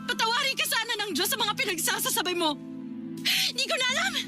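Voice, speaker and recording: A woman's voice: extremely high pitch, sing-song, fast talker. A woman acting as an exasperated older sister in a Filipino radio drama, emotional and scolding as she confronts a wayward sibling. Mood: exasperated, upset. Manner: emotional, scolding, insistent questioning. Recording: dramatic music bed behind.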